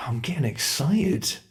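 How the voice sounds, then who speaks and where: A man voicing an ancient male monster in an ASMR roleplay, a cruel and playful predator who taunts his prey and drops into close whispers. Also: medium pitch. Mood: teasing.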